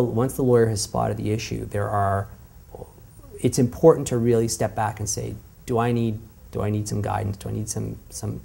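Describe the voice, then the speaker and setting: A man giving interview advice in a slow, deliberate way. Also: lowish voice, creaky, mellow, slight background noise. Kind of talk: interview answer.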